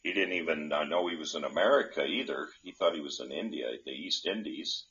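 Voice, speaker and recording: A man who hosts a call-in show as a candidate, answering questions in a halting, rambling way full of restarts. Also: rumbling low, a bit bright. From North Dakota. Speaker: senate candidate, show host. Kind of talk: rambling live call-in chat. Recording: dull lo-fi live stream audio.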